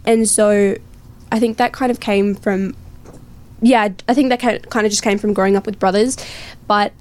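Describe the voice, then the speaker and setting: A young teenage girl with an Australian accent talks casually as a guest in an interview. Her voice sits at a middling female pitch, and she speaks conversationally. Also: fairly even intonation, moderate pace, a little mellow. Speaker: young actor and filmmaker guest. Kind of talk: casual chat.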